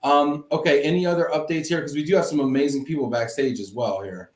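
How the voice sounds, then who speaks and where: A man's voice: on the high side, fast talker. A male show host keeping a casual video-call interview light with playful, joking banter. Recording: echoey room.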